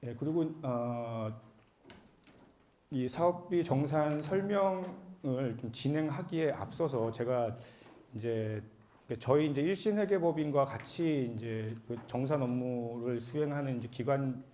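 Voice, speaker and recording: A man with a cold giving a formal explanatory seminar presentation as an accountant, speaking politely and a bit haltingly. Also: medium pitch, dark tone, a little hiss or hum. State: has a cold.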